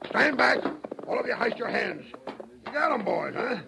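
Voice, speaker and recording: Blustering western lawman. High, gravelly, 1930s radio acting, loud surface noise. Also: expressive, moderate pace, full character voice. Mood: gruff, stern. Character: blustering, excitable Old West lawman. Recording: very noisy 1938 radio recording.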